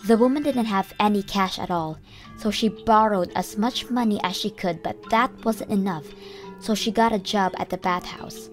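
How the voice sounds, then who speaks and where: A woman's voice: mid-range voice, normal speed, faint music behind. A woman giving an earnest, scripted first-person narration of a story.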